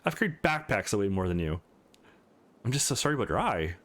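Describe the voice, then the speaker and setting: Man acting an earnest young hero, very animated. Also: on the low side, fast talker. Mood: reassuring. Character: caring, earnest young male student gamer hero.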